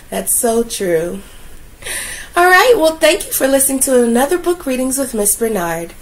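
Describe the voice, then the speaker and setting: A woman reading a children's picture book aloud in a gentle, expressive, soothing way. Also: high-pitched, a little slow. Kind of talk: read-aloud storytelling.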